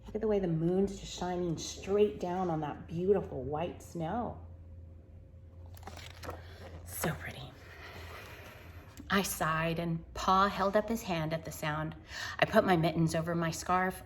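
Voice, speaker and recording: A woman reading a picture book aloud to children in an expressive, wonder-filled way, with chatty asides and hushed moments. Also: lowish voice, unhurried, a little hiss or hum. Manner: animated, wonder-filled, addressing young listeners, dropping to hushed tones.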